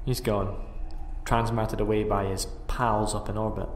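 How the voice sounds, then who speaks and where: A man's voice: fairly low pitch, flat delivery, unhurried, slight background noise, close to an everyday voice. A man playing an anxious, self-doubting time-travelling alien hero in an audio drama. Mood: apologetic, worried. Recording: echoey reverberant space, faint music.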